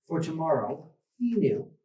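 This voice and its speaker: A man reading a children's storybook aloud in a theatrical way, with drawn-out, emphatic repetitions. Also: fairly high pitch, big pitch swings, lo-fi sound. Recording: echoey room, distant microphone.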